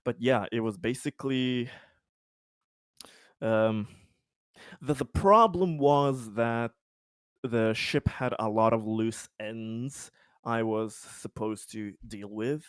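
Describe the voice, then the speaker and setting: A man's voice: medium pitch, takes it slowly, pauses often, clear, resonant tone, dry recording, studio quality. A man playing a tabletop RPG, casually recapping his character's schemes in a rambling, sweary, self-amused way.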